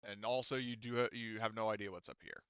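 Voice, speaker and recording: A man's voice: on the low side, steady tone, quick pace, a bit bright, slight background noise. A man speaking as game master, casually explaining rules and options in a rambling, conversational way with some swearing, on slightly dull voice-chat audio. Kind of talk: casual tabletop game narration.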